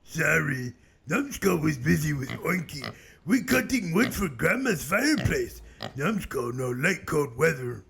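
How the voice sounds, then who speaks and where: A man voicing a slow-witted, menacing cartoon brute with a raspy voice, speaking sternly in simple broken grammar. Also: a little high, big pitch swings, fairly bright tone, heavily acted. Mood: blunt. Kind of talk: comedic cartoon voice acting.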